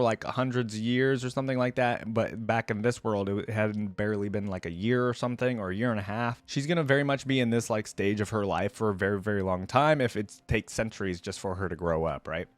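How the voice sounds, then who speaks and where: A man's voice: medium pitch, dry recording. Rambling casual man reacting to a game. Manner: rambling, informal, emphatic on key words. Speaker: reaction channel host. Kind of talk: casual gaming reaction commentary to viewers.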